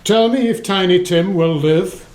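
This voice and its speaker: A man playing an anguished, imploring old miser in a radio play, with drawn-out delivery. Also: high voice, a little mellow, rough recording. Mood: pleading. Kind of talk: theatrical radio play acting.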